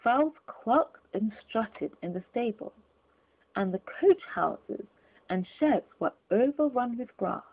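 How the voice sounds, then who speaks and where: A woman reading classic literature aloud as an audiobook narrator, in an expressive, deliberate storytelling manner. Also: on the high side, narrow, tinny audio. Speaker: audiobook reader.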